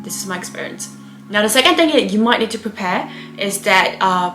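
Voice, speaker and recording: A woman's voice: mid-range voice, fairly bright tone. Young woman, vlogger, chatty and explanatory, fillers, echoey room, music bed underneath. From Malaysia. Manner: chatty, explanatory, frequent "um" and "you know". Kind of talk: casual step-by-step advice. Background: background music.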